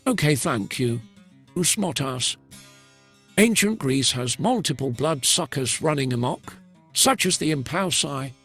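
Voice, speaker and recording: A man's voice: on the high side, lively intonation, a little slow, a bit bright, husky, soft background music. A man reading scripted narration about myths as a co-host.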